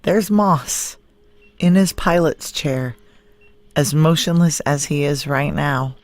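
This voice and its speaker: An actress playing a tough, wary security chief in a noir audio drama, low-pitched, dry and clipped. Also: female voice, takes it slowly. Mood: tense, dry.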